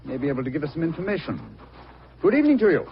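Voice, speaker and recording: An actor playing a shrewd, courteous, commanding gentleman detective with a British accent in an old-time radio drama. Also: fairly high pitch, very animated, very fast. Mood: courteous, probing. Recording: muffled, faintly noisy old radio recording.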